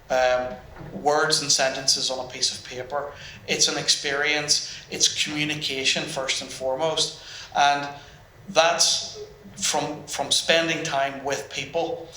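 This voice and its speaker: A man's voice: on the high side, pushed and bright, slight background noise. A man on a business panel, talking in a hesitant way with frequent restarts and fillers. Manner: hesitant, restarts, many ums. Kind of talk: panel discussion. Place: event room with audience. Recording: roomy hall.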